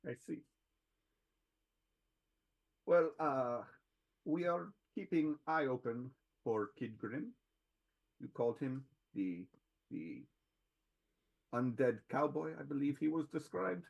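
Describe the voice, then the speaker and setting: A man putting on a gruff Russian-born superhuman. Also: mid-range voice, expressive, dull audio, voice pushed up, full character voice. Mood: curious. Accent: put-on Russian. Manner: halting, gropes for English words. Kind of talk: tabletop roleplay in character.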